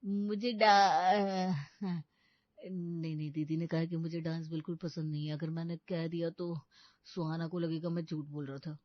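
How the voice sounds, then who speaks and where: A man's voice: fairly high pitch, little pitch movement, normal speed, dry recording, lightly acted. Casting: man as pliable young cartoon groom, whiny and pleading, dance-mad; dry, slightly dull recording. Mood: hesitant. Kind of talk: animated Hindi comedy story dialogue. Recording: dull, lo-fi studio voice-over.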